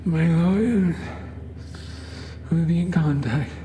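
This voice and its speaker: A man voicing a frail, wealthy old cult patron in a horror story, grandiose, with slow and halting speech. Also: fairly high pitch, warm tone, a little hiss or hum, pitched above the performer's own voice. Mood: grateful, feeble. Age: older. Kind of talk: horror story character acting.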